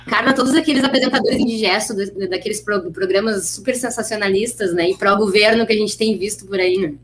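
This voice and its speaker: Woman, Brazilian accent, mid-pitched voice, conversational podcast interviewing. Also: expressive, fast, a little hiss or hum.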